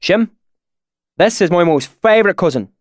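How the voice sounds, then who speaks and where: A man's voice: high-pitched, animated, normal speed, no echo, clean studio sound, voice pushed up. A man voicing a clever young man who puts on a folksy country dialect to win over a stranger. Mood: sly folksy. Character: young man slyly faking a rustic dialect.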